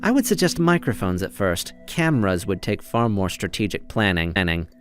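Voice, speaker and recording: An actor playing a smug, quietly sadistic schemer in an audio drama, a man with a drawling, insinuating manner. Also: on the low side, big pitch swings, moderate pace, clear, resonant tone, faint music behind. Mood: sly, probing. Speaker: doctor's colleague in an audio drama.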